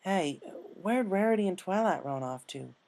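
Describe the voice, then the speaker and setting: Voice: medium pitch, expressive, normal speed, vocal fry, darkish tone, voice pushed up. A narrator voicing a caring, folksy young female farm pony with an earnest, homespun way of talking. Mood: concerned.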